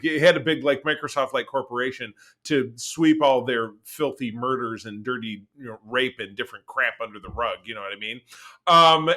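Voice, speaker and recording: A man co-hosting a pop-culture podcast in casual, rambling and excitable chat, with some swearing. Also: medium pitch. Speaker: podcast co-host.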